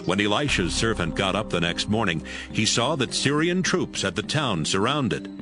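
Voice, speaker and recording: A male narrator with a slightly low, lively voice reads scripted Bible narration. His pitch moves expressively, and music plays clearly behind him. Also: normal speed.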